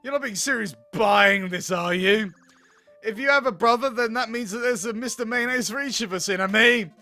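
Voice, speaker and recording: Very high-voiced man reading comedically. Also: moderate pace, bright, forceful tone, faint music behind. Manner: theatrical, silly, playful. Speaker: gaming video host. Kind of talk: comedic read-aloud of a visual novel.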